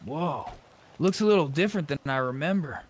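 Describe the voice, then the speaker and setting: Man, fairly high pitch, blunt, sweary, improvised roleplay chat, faint background noise. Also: expressive, a little fast. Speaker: online roleplay game player. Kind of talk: improvised in-character game chat.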